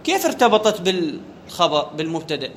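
A man's voice: sits high, very animated, fairly quick, a little hiss or hum. A man teaching a grammar lesson. He puts questions to the class, answers them himself and repeats the key terms. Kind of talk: classroom lecture on Arabic grammar.

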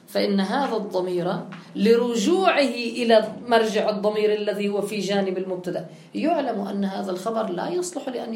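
A woman's voice: mid-range voice, creaky, darkish tone, slight background noise. A woman teaching grammar with a touch, explaining step by step and posing her own questions. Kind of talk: classical grammar lesson. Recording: echoey room with faint ambience.

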